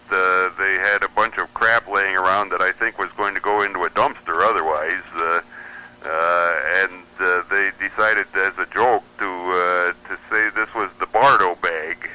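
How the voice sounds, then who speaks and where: Male radio guest, wry rambler with a low, very bright, sharp-edged voice. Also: clear, resonant tone, low-quality recording. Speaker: interview guest. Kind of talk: casual anecdotal interview talk.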